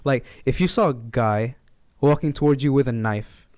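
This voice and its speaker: A young man chatting casually on a podcast, explaining haltingly and repeating his phrases. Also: mid-range voice, a little mellow, dry recording, studio quality.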